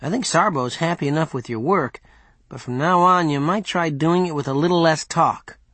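A man's voice: fairly high pitch, moderate pace, fairly bright tone, no echo, pitched above the performer's own voice. A man voicing an earnest, level-headed adventure hero with a plain, concerned manner in an audiobook. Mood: calm, concerned. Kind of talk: audiobook character voice.

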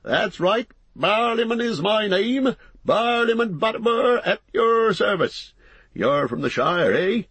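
A man's voice: high-pitched, expressive, slow, fairly bright tone, slightly rough, dry recording, pitched above the performer's own voice, exaggerated voice. A man voicing a fat, harried, talkative innkeeper in an audiobook, chattering breathlessly in rustic, folksy phrases. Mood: bustling, hearty. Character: fat, bustling, harried, talkative middle-aged male innkeeper. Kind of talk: audiobook character voice.

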